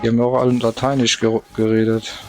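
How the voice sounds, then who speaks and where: A man's voice: medium pitch, little pitch movement, fast, over music. A man casually commenting while playing a video game, rambling and hesitant, often putting himself down. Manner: rambling, hesitant, self-deprecating. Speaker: let's play gamer.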